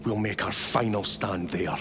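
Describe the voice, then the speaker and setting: A man's voice: on the low side, steady tone, measured pace, noisy background, natural voice. An actor playing a grim, weary male space marine captain who gives stern, clipped commands in a game cutscene. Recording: game audio with score underneath.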